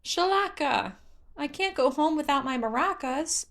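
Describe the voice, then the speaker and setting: A woman voicing an excitable, bubbly, childlike tree spirit who exclaims in a sing-song way in a fantasy story reading. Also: high-pitched, unhurried, voice pushed up, full character voice. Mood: sad, pouting.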